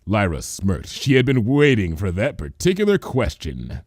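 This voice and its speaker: A man reading scripted fan fiction as an expressive storyteller. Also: on the low side, normal speed, slightly rough, no room sound, studio quality.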